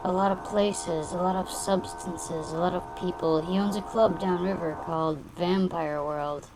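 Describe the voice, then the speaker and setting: An actress playing a cool, worldly femme fatale in a noir detective audio drama, speaking in a dry, measured, theatrical way. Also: female voice, lowish voice, fairly even intonation, noisy background, lightly acted. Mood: warning.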